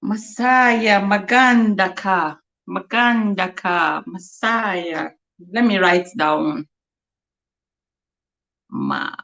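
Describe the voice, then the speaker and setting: A woman's voice: mid-range voice, very slow, pauses often, fairly bright tone, highs cut off. A young woman from Nigeria with a Nigerian accent and Igbo as her first language, hosting a casual livestream chat, laughing often and repeating words as she sounds them out. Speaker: livestream host.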